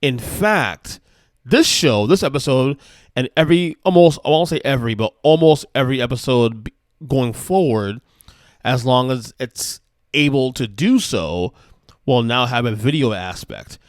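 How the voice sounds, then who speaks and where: Male podcast host, early forties, mid-pitched, chatty and loose, often restarting his sentences. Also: animated, unhurried, no echo, studio quality. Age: 40s. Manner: rambling, self-correcting, chatty. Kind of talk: casual solo sports talk.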